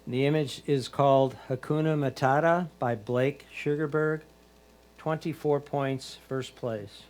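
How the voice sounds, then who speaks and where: A man of medium pitch acts as the score announcer for a competition. He reads out titles, names and scores, following the same formula each time. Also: measured pace, a little mellow.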